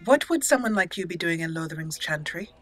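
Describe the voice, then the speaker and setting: A woman's voice: fairly low pitch, lively intonation, brisk, no echo, faint music behind. A woman hosting a casual, chatty roleplay let's play, sometimes reading dialogue theatrically. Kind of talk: casual game commentary with roleplay.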